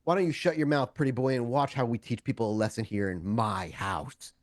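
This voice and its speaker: A man's voice: medium pitch, a little fast, no room sound, clean studio sound, natural voice. A man playing an angry, swearing gangster in a tabletop game, threatening and full of bravado. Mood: menacing bravado. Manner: threatening, foul-mouthed bravado. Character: angry, swearing young male gangster with new pistol.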